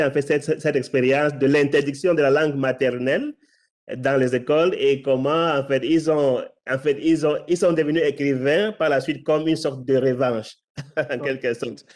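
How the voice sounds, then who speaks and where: A man's voice: mid-range voice, darkish tone. A male interviewer asking questions in a hesitant way, often restarting and repeating filler words.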